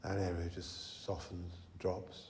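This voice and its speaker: A man leading a guided meditation as a teacher, speaking slowly and gently with long unhurried pauses. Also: deep voice, level tone. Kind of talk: guided meditation, slow deep-relaxation instruction. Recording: reverberant hall, some background noise.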